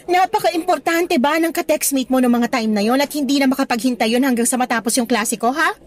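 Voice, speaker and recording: A woman's voice: high-pitched, brisk, close to an everyday voice. An actress playing a principled young woman teacher with a Filipino accent in a Tagalog radio drama, earnest and scolding, switching into English at times. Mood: exasperated, scolding.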